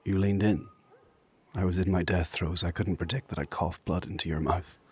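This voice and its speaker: A man playing an ancient, proud and solemn warrior in a dark fantasy audio drama, speaking formally and gravely. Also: fairly even intonation, normal speed. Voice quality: husky, very deep.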